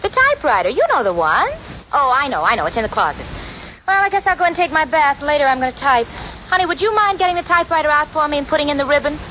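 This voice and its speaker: An actress playing a sensible, wry young roommate in a 1940s radio comedy, with dry, articulate, patient exasperation. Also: female voice, sits high, very animated, quick pace. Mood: exasperated. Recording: noisy, muffled 1940s radio recording.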